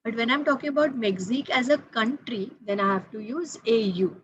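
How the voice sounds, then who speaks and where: A woman's voice: a little high, brisk, muffled recording, slight background noise. Patient woman teaching French. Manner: patient, repeats key points, spells out letters. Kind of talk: explaining grammar to students.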